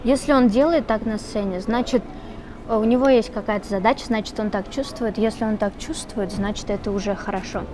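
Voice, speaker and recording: A young woman singer answering interview questions in a relaxed, chatty way, with many fillers. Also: medium pitch, brisk. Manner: relaxed, chatty, switches between Russian and Tatar, many fillers. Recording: noisy background with traffic.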